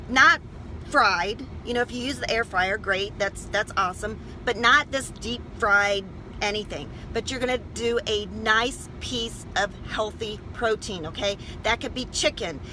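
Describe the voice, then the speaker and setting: A woman's voice: on the high side, pushed and bright, slight background noise, rough recording. A woman health coach talking informally and emphatically to her livestream viewers, often checking in with short questions.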